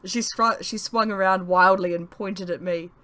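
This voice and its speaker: A woman's voice: mid-range voice, fairly even intonation, normal speed, pushed and bright. A woman reading her own novel aloud in a dramatic audiobook narration style.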